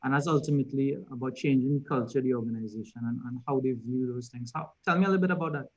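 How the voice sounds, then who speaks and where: A man's voice: medium pitch, quick pace. Man moderating, dull lo-fi audio. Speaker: interviewer, panel moderator. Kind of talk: conversational panel discussion. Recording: dull lo-fi recording.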